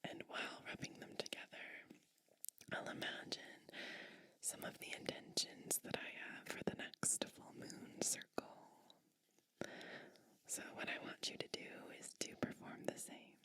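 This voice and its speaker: A woman speaks entirely in a breathy, bright whisper, as in an ASMR roleplay. She moves slowly and attentively from phrase to phrase. She addresses the listener directly in a soothing, unhurried way. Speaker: ASMR creator playing a gentle witch.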